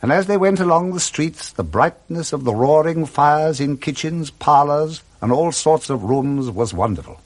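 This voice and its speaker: A man with a British accent narrating a 1960s radio drama in a measured, expressive storytelling way. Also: on the high side. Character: male storyteller narrating a Victorian Christmas tale.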